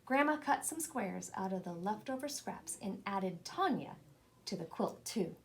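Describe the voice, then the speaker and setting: A woman reading a children's picture book aloud in an expressive storytelling style. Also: mid-range voice, a little slow, a little hiss or hum. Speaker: storybook reader.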